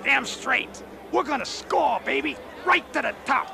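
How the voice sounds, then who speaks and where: Very high, gravelly, pushy rhino. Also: male voice, expressive, measured pace, very noisy, heavily acted. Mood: cocky, eager. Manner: blunt, cocky, slangy street-tough talk. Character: pushy, dim, hungry male rhinoceros turned commodity trader. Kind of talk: 1980s satirical radio comedy acting.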